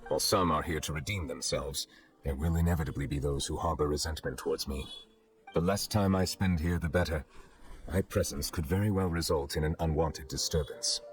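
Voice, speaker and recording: Casting: ageless, humble game judge; very deep, reserved, formal delivery over a clear music bed. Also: male voice. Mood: composed, reserved. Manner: formal, measured, restrained. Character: solemn, reserved male chief justice, ageless, dignified and humble. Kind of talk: anime game voice acting. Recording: background music under the voice.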